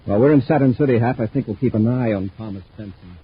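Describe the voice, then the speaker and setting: A man playing a calm, authoritative space patrol commander in terse 1950s radio style. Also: mid-range voice, fast talker, breathy edge. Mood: pensive. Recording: muffled old radio recording, faint noise.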